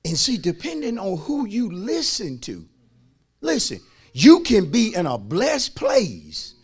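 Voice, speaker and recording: A man's voice: sits high, huge pitch swings, takes it slowly, breathy edge. A man preaching as a pastor, emphatic, repeating phrases and calling on his listeners to respond. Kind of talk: sermon.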